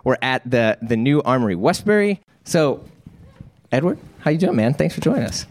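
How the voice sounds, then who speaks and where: A man's voice: mid-range voice, sing-song, normal speed, a little hiss or hum. A man hosting a live conversation before an audience, informal and joking, with rambling questions. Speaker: host and interviewer. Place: live event in a menswear store.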